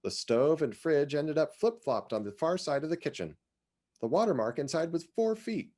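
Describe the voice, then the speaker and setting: A man reads as a chatty, opinionated small-town woman from a storybook. The delivery is brisk and emphatic, leaping up and down in pitch with clipped, gossipy phrases. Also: big pitch swings, warm tone. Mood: bright, warning.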